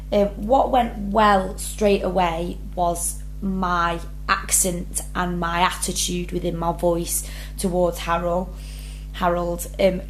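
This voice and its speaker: A woman's voice: lowish voice, a little hiss or hum, poor audio. A young woman drama student, talking earnestly through her rehearsal blog and reading each question aloud before answering. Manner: earnest, many ums, reads prompts then answers.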